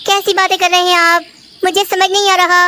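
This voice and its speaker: Casting: woman as young female cartoon sparrow, high-pitched and bright, devoted, polite, pleading. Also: flat delivery, fairly quick, a little hiss or hum. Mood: hurt, pleading. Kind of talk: Hindi children's cartoon dialogue.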